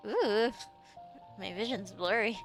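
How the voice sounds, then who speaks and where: A woman with an even mid-range voice talks through a story-driven game in relaxed stream commentary, making dry asides and reading on-screen text aloud. Soft game music sits faintly behind her. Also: medium pitch, slow. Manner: chatty, wry, reads game text aloud. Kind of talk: casual let's-play commentary.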